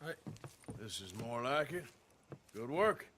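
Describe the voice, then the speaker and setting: An actor playing a seasoned, skeptical western outlaw in a video game, with a dry, needling manner. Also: male voice, mid-range voice, big pitch swings, takes it slowly, a little hiss or hum. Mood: satisfied. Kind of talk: video game cutscene acting. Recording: game audio under loud music. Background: loud music, horse hooves.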